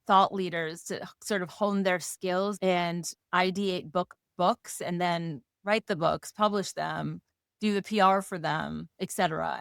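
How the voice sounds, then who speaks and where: A woman co-hosting a podcast in a casual, playful way, slightly hoarse and given to jokes and lists. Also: lowish voice, a bit bright, no echo, clean studio sound. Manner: playful, jokey, talks in lists. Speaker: podcast co-host. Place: Rochester area.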